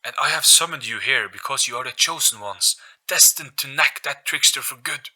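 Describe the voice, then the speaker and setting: A man's voice: medium pitch, animated, moderate pace, pushed and bright, pitched above the performer's own voice. A man voicing a kindly, courteous gramophone ghost, a grand and theatrical guide in an audio story. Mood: grave. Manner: grand, theatrical, occasionally stern.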